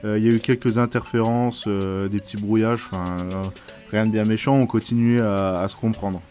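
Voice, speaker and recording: A man's voice: on the low side, fairly even intonation, a little fast, dark tone, soft background music. A man giving an informal, hesitant, hands-on product review in casual slangy speech.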